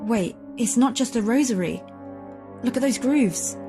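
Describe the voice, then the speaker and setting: Woman acting anxious young heroine, high pitch, insistent, urgent, horror drama, faint music bed. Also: animated, measured pace. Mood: puzzled, focused. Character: determined, anxious young woman solving clues under threat.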